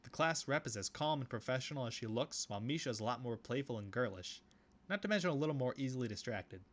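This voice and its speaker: A man reading a visual novel aloud, with relaxed commentary and casual asides. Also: mid-range voice, fast talker. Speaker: video game let's player.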